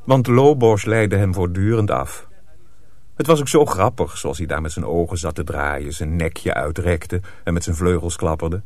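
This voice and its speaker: A man narrating a radio play as a measured storyteller. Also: low-pitched, a little mellow, dry recording, natural voice. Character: measured male storyteller of a dark folk tale.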